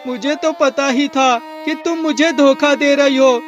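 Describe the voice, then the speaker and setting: A man's voice: very high voice, steady tone, unhurried, muffled recording, soft background music. A man playing a suspicious, hot-tempered husband in a melodramatic cartoon moral story, speaking emphatically. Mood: angry accusing.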